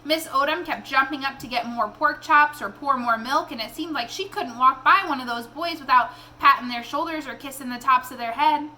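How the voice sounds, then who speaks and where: A woman reading a children's novel aloud in an expressive storytelling style. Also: sits high, normal speed, few pauses, a little hiss or hum.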